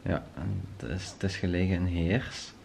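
A young man with a Flemish accent, chatting in a relaxed, teasing way from bed late at night. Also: low voice, moderate pace, vocal fry, faint music behind. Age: young adult. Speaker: vlogger. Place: hotel bedroom, lying in bed. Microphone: handheld camera at arm's length.

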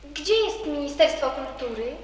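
A woman's voice: high-pitched, animated, a little slow, a little hiss or hum. A woman playing a guarded, stubborn but hopeful teenage schoolgirl in a radio drama, speaking in a curt, matter-of-fact way.

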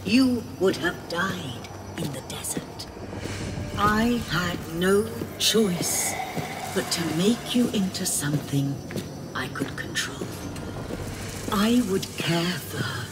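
Slow, solemn otherworldly female being. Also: fairly low pitch, expressive, noisy background. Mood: solemn, resolute. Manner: measured, solemn, stately, deliberate phrasing. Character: otherworldly female being, solemn, possessive, coldly tender, would-be mother. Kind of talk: dramatic video game voice acting. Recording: loud game music behind the voice.

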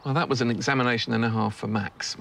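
A man's voice: mid-range voice, fairly even intonation, fast, gravelly, a bit bright, slight background noise. A man narrating a documentary in a scripted voice-over, with dry wry asides.

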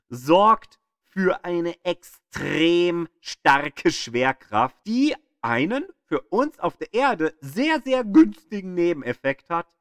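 A man's voice: fairly high pitch, a bit bright, dry recording, clean studio sound. A man reading scripted educational narration as an audio guide, drawing out key words for dramatic emphasis.

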